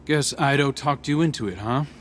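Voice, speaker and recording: A man's voice: medium pitch, normal speed, fairly bright tone, a little hiss or hum, natural voice. A man playing a wry, easygoing ally in a video game, casual and resigned in delivery. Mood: wry, calm. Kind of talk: video game cutscene acting.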